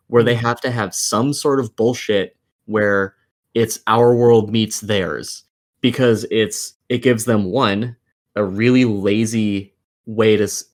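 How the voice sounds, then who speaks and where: A man co-hosting a casual podcast, ranting in a profane, exasperated and emphatic way. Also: on the low side. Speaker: podcast co-host. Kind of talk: casual opinionated rant about games and films.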